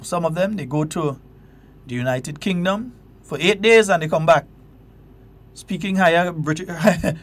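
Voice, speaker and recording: A Grenadian man hosting a call-in radio talk show, talking with passion and emphasis. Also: high-pitched. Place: radio studio.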